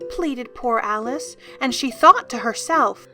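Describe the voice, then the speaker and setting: A woman reading scripted storybook narration for an audio drama, as an expressive storyteller. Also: on the high side, slow. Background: music.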